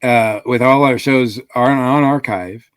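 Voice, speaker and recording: A man co-hosting a podcast in casual chat, halting and repeating words. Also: mid-range voice, clear, resonant tone, no echo. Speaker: podcast co-host.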